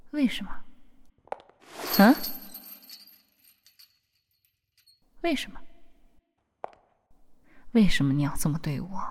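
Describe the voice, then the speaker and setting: A clingy, possessive young woman, played by a woman in a whispered audio drama. Her soft, whispery voice sits at a middling pitch. She keeps pleading and coaxing with small questions, and an obsessive edge runs under the tenderness. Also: husky, heavily acted. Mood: jealous, accusing.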